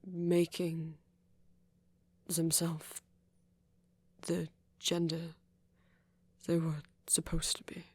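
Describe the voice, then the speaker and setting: A performer playing a soft-spoken, wounded male vampire in an intimate audio roleplay, tentative and tender, often trailing off. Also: mid-range voice, monotone, very slow, long pauses, slightly rough, no room sound. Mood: shy, reassuring.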